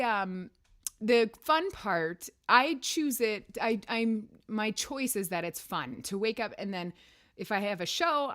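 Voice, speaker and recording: A woman singer hosting a casual livestream chat, her voice raspy, talking in a rambling, laughing way from a room with a piano. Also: mid-range voice, a bit bright. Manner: chatty, rambling, laughs often. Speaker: singer and weekly show host.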